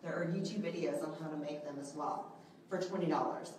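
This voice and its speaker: A woman with a soft, slightly low voice teaches a small group in a very echoey room, her voice picked up from a distance on a handheld mic. She keeps it casual and chatty, checking in with listeners often, and faint room noise sits underneath. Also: brisk. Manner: chatty, asks the group questions, many okays and rights. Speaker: trainer teaching a selfie workshop. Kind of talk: live instructional talk to a group. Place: indoor room with a large window, small audience present. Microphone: handheld microphone.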